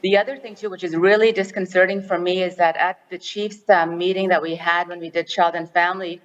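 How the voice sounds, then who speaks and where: A woman's voice: on the low side, steady tone, a little fast, big-room sound. Earnest woman on a lo-fi phone line. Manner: earnest, explanatory, with frequent restarts. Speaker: chair of a directors forum speaking to a resolution. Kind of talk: formal assembly remarks by phone.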